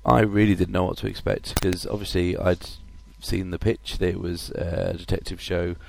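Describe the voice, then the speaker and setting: A man with a cold and a husky voice, hosting a casual podcast chat with many hesitant fillers. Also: low-pitched, level tone, normal speed, slight background noise. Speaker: podcast host.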